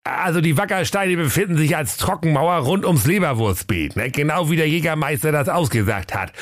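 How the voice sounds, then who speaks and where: A chatty storybook knight prince , acted out by a man. He rambles easygoingly in a high voice that rises and falls. The delivery is colloquial and comic. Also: lively intonation, fairly quick, no room sound, lightly acted.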